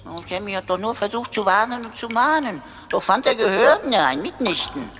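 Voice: medium pitch, expressive, normal speed, a bit bright. A woman playing a cheeky, verbose robot companion, pompous and sarcastic, in a sci-fi radio play. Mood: theatrical, self-pitying. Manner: pompous quoting, sarcastic, refers to itself in third person. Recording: reverberant radio play with faint music.

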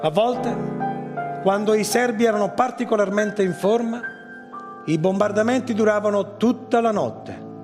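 An actor playing a dreamy witness who remembers nights of war, narrating theatrically with incantatory repetition. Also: male voice, sits high, measured pace. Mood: matter-of-fact. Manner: dramatic, repeating phrases for effect. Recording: loud music under the voice. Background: loud percussion, piano and accordion music.

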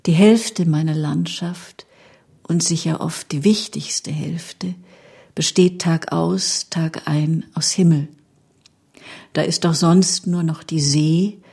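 A woman speaks slowly in a low, husky voice. There is a creak in it and a reflective, unhurried manner, as if weighing each phrase. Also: warm tone. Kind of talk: reflective recollection and unhurried reading aloud.

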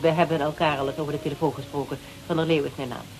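A woman's voice: low-pitched, steady tone, racing, husky, soft background music, low-quality recording. An actress playing a secretive, tough, self-assured female snoop with a wry, probing manner in a radio drama. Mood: cool, wry. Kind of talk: Dutch radio drama acting.

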